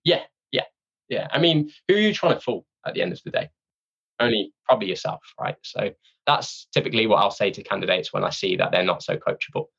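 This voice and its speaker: Guy with a low creaky voice, chatting casually as a guest, kinda muffled audio. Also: animated, fast, a bit bright. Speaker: podcast guest, sales training company representative. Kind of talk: casual interview conversation.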